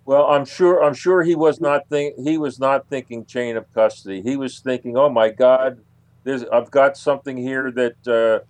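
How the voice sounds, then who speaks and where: Halting male researcher, dry close sound. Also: medium pitch, steady tone, no echo. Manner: halting, repeats phrases for emphasis. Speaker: interview guest, researcher. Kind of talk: conversational interview answers.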